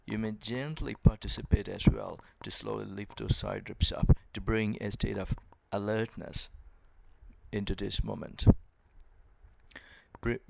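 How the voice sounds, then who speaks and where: A man leads a guided meditation with very unhurried, gentle delivery. His voice sits on the low side and has a gravelly creak to it. He gives each instruction in short, measured phrases and leaves long pauses between them. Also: noisy background. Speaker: meditation and yoga teacher.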